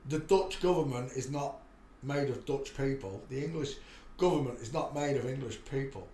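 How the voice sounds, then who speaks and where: A man hosting a live stream, talking in a preachy, rambling monologue with frequent restarts and sudden loud bursts. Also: medium pitch, roomy sound, slight background noise. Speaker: livestream host.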